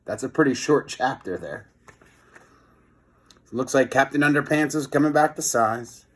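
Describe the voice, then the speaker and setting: A man reading a children's book aloud in an expressive storytelling style, with chatty, casual asides. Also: medium pitch, measured pace. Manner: expressive, chatty commentary between passages.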